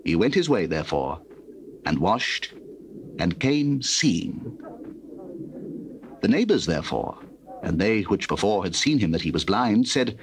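A man's voice: low-pitched, big pitch swings, a little slow, long pauses, a little hiss or hum. A man giving scripted scripture narration on an old cassette recording.

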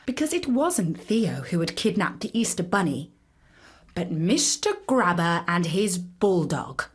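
A woman tells a scripted children's story. She reads slowly and expressively, with a very lively, swooping pitch. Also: husky.

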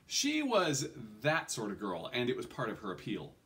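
A man in his forties reads fantasy fiction aloud with very lively, swooping intonation. His delivery is theatrical and expressive, like a storyteller, at a middling pitch. He is recorded in a small room at home. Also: moderate pace. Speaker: reader. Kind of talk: expressive audiobook-style reading of a fantasy novel.

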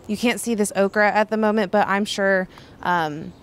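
A woman's voice: medium pitch, steady tone, moderate pace, a little hiss or hum. A young woman chatting enthusiastically on a tour of her backyard garden, filmed outdoors on a phone. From Kansas. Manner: enthusiastic, chatty, exclaims often. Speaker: garden vlogger. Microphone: handheld phone camera.

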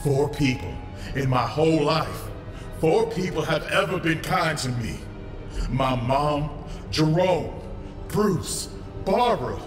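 Tormented villain, strained menacing voice, audio drama, echoing hall, music underneath. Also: male voice, a little high, animated. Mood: torn, anguished. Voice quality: gravelly, strained. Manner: slow, menacing, bitter. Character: scarred, tormented crime boss with two sides.

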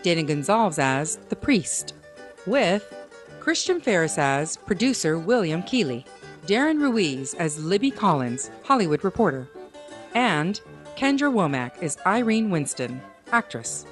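A woman delivers scripted announcements in a slightly low voice. Her intonation is very varied and animated. Faint plucked-string music plays underneath. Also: unhurried. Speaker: announcer reading cast credits. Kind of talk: scripted narration.

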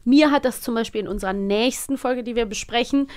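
A woman's voice: on the high side, a little fast, darkish tone. A woman in her thirties co-hosting a podcast in casual chat, chatty and laughing often, sometimes losing her thread, on a studio mic. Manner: chatty, laughs often, trails off mid-thought. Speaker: podcast co-host.

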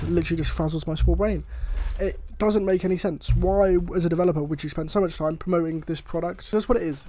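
A man's voice: a little high, dark tone, slight background noise. A young man with a cold and a slightly chesty voice, ranting and swearing with exasperation over gameplay footage. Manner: exasperated, swears often, rambling. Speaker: gaming YouTuber.

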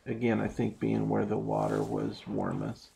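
A man on a small boat on a lake, calmly walking through his day in a relaxed, rambling way with plenty of ums. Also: on the low side, normal speed, gravelly, noisy background. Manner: relaxed, rambling, explains step by step with many ums. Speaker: fishing vlogger. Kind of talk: casual narrated fishing recap. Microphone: boat-mounted action camera.

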